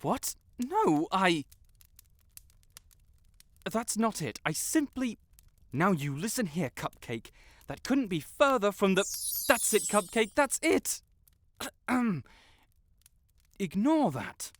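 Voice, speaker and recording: A man's voice: high voice, sing-song, slow, pauses often, fairly bright tone, no room sound, heavily acted. A man playing a proud, lovestruck wizard in a romantic audio roleplay, haughty but stammering, blurting things out and backtracking. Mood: haughty, flustered. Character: proud, flustered tsundere wizard secretly in love.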